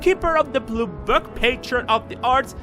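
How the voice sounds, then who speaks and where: A man's voice: extremely high pitch, moderate pace, sharp tone, soft background music. A man playing an arch, flippant society wit in an old-time radio drama. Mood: arch, mocking. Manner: arch, grandiose, drawling. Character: flippant, theatrical society wit, nervous male jester. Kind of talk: 1930s radio acting.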